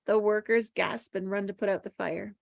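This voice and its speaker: A woman's voice: mid-range voice, fairly even intonation, moderate pace, vocal fry, dry recording, clean studio sound. A woman reading screenplay stage directions as plain, descriptive narration at a table read.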